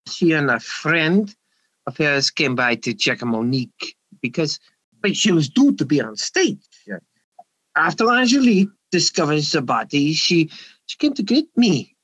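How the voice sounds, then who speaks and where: A man's voice: on the high side, big pitch swings, normal speed, fairly bright tone, no room sound, highs cut off. A man playing a nervous, evasive French nightclub owner in an amateur radio mystery, stammering, repeating himself and getting defensive.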